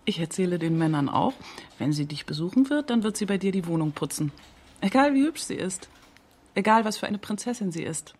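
A woman's voice: lowish voice, animated, a little fast, darkish tone. A woman reading the translated words of an interviewee as a documentary voice-over.

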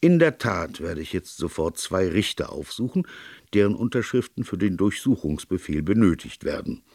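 Man voicing a commanding, gravelly British police colonel. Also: low-pitched, very animated, moderate pace, no room sound, clean studio sound, exaggerated voice. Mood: stern, official. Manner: loud, clear, formal and authoritative. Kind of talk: audiobook character dialogue in a detective story.

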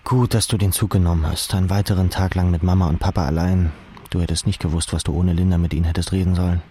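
A man's voice: low voice, steady tone, fast talker, dark tone, slight background noise. A man narrating literary prose in the second person, as in an audiobook.

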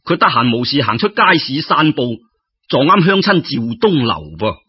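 A man telling folk tales as an expressive storyteller, in a conversational way that addresses listeners directly. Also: medium pitch, normal speed, breathy edge, highs cut off.

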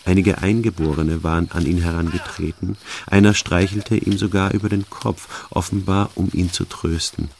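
A soldier narrating his own expedition in a radio drama. His voice is very low. He reports soberly and plainly, as matter of fact. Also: steady tone, moderate pace, vocal fry, few pauses, a little mellow, a little hiss or hum. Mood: sober recounting. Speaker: first-person narrator.

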